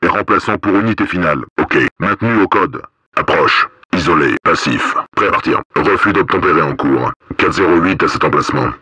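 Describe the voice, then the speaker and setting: An actor playing a stern, cold paramilitary police officer in a video game, giving terse commands through a radio filter. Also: male voice, low-pitched, a little fast, bright, forceful tone, slightly rough, no room sound, full character voice. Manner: terse, commanding, coded military phrases.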